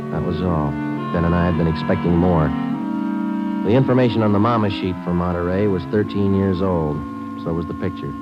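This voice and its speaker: Terse detective-narrator, played by a male actor, low and deadpan, old dull radio sound. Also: lightly acted. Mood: matter-of-fact, then grave narration. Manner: deadpan, clipped, matter-of-fact. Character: stern, terse male police detective sergeant, also narrator. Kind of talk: 1940s-50s radio crime drama acting. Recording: dull vintage radio recording, loud music behind.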